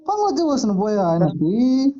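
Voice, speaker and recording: A man's voice: very high voice, very animated, normal speed, mellow. A man with a Koraputia Odia accent, chatting casually over the phone. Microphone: telephone line. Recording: muffled lo-fi phone call.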